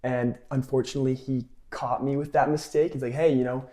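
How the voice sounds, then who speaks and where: A man's voice: mid-range voice, little pitch movement, brisk, dark tone. A man of twenty-one with an American accent, telling stories casually in an interview as a college athlete. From California, United States. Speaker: college soccer player.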